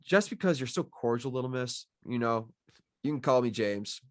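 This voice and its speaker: A man's voice: mid-range voice, animated, normal speed, no room sound, studio quality, natural voice. A man playing a cocky, flirtatious drifter who teases and wisecracks in an amateur table read. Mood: sardonic, cool. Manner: teasing, drawling pet names, smart-alecky.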